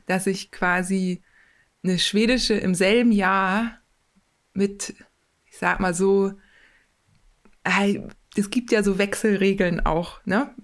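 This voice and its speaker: A woman chats easily about her past, relaxed and open. She talks at length, often pausing with fillers and correcting herself mid-sentence. Her voice sits at a moderate, everyday pitch. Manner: relaxed and talkative, many fillers and self-corrections. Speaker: former rugby player, mental coach. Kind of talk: casual interview chat.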